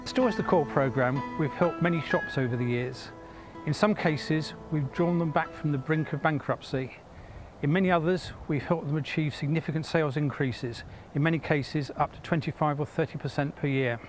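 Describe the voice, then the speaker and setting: A man's voice: on the high side, moderate pace. A man in his fifties or sixties giving a promotional interview statement as an adviser outdoors. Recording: music over outdoor sound.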